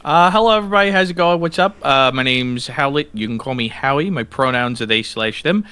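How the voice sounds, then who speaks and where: A man's voice: medium pitch, animated, a little fast, pushed and bright, smooth. A tabletop game player with a man's voice, upbeat and teasing in casual chat.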